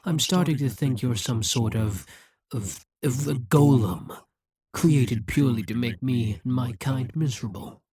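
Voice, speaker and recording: Husky, domineering demon monarch, voiced by an actor. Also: medium pitch, a little mellow, dry recording, clean studio sound. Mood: frustrated, scornful. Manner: menacing, deliberate, taunting, theatrical. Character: domineering demon monarch, scheming, smug, coldly seductive captor. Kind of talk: dramatic ASMR roleplay monologue.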